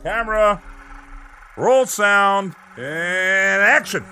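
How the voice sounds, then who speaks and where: An actor playing a pragmatic, weary television director, brisk and dryly placating, in an audio drama. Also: male voice, high voice, animated, bright, forceful tone, slight background noise, heavily acted. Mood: commanding. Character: pragmatic, weary male TV director managing a difficult star.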